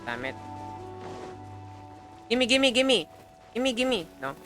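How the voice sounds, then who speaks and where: A woman game streamer giving casual, expressive live commentary, joking and swearing as she plays. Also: a little high, takes it slowly, over music.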